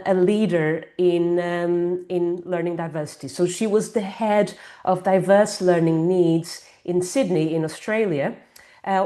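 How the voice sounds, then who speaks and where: A woman hosting an online webinar, giving a formal, slightly halting introduction of the speaker over a video call. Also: on the low side, warm tone. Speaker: webinar host.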